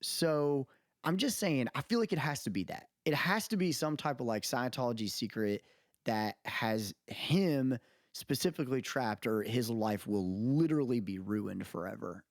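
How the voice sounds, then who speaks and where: A man's voice: medium pitch, animated, moderate pace, no echo, clean studio sound. A man talking casually and emphatically as a podcast co-host, giving opinions in informal, colloquial language. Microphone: close podcast mic on remote recording.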